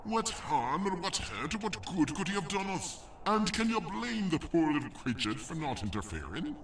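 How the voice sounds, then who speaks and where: A man's voice: on the high side, very animated, moderate pace, a bit bright, distant mic, a little hiss or hum. A man playing a merciful archangel pleading for the weak in an audio drama. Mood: reproachful pleading.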